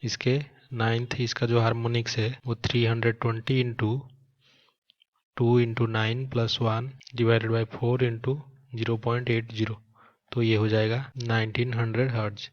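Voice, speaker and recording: A man's voice: medium pitch, completely flat delivery, measured pace, dull audio. A man with an Indian accent speaking as a physics teacher, explaining a problem step by step and mixing in English terms. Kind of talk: step-by-step problem-solving lesson.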